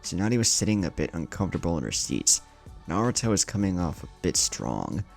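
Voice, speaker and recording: A man's voice: low voice, big pitch swings, fairly quick, gravelly, soft background music. A man narrating an anime fan story in a dramatic scripted style.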